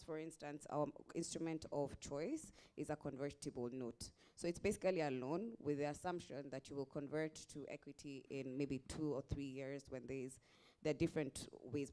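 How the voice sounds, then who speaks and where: A woman with a Kenyan accent speaks as an investor on a workshop panel. Her voice is smooth and resonant. She lays out her lessons point by point and restarts a phrase now and then. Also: deep voice, dark tone. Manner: explains point by point, restarts, occasional laughs. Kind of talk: panel discussion answer.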